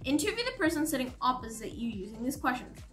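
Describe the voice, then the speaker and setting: A brisk male schoolteacher , acted by a woman in an audiobook, calling a class to order. The delivery is commanding and forceful, with lively rises in pitch. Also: faint music behind. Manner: commanding, announcing. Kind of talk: audiobook character dialogue.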